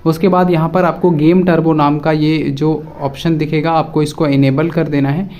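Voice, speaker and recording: Man, slightly high voice, casual tutorial presenter, instructional, repetitive, step-by-step delivery. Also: flat delivery, normal speed, a little mellow. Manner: repetitive, instructional, addresses viewers directly.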